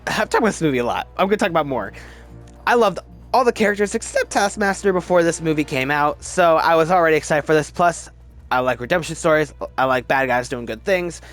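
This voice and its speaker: A man giving casual, opinionated commentary as a video host, emphatic and halting, often restarting his sentences. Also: on the high side, a bit bright. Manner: chatty, halting, restarts sentences mid-word. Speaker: movie review video host. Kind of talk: casual ranked-list movie commentary.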